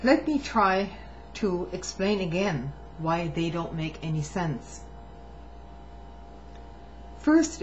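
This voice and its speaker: A woman reading a written testimony aloud in a measured way, now and then stumbling and restarting a phrase. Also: lowish voice. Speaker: reader of a public document. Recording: muffled lo-fi recording with faint hum.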